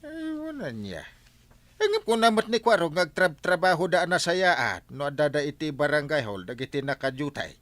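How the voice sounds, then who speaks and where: A man's voice: fairly high pitch, sing-song, moderate pace, a bit bright, no echo. A man playing a dutiful, curious village captain with an Ilocano accent and a questioning manner in an audio drama.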